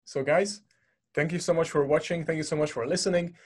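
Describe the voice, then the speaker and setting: A man's voice: fairly high pitch, steady tone, fairly quick, breathy edge. A man from Hungary with a Hungarian accent, hosting a casual podcast and thanking his guest often. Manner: friendly, effusive thanks, fillers. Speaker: podcast host.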